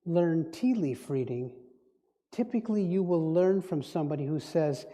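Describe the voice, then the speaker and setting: A man's voice: fairly high pitch, expressive, moderate pace, mellow. Man, guest speaker at a spiritual gathering, musing, repeats himself, long hall reverb. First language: Dutch. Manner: reflective, musing, circles back on words. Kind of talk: spiritual talk.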